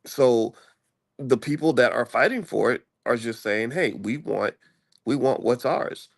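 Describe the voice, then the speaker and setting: A man's voice: mid-range voice, measured pace, creaky, husky, dry recording, clean studio sound. A man hosting a channel, talking politics in a rambling, conversational way with many restarts. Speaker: channel host. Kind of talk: casual political chat. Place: traveling, in a room before a flight.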